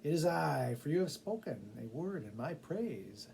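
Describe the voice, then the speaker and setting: A sly storybook cat acted out by a man speaks in a soft, mellow tone. The delivery is deliberate and self-assured, with patient cunning and some background noise. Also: on the high side, very animated, creaky, voice pushed up, low-quality recording. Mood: triumphant.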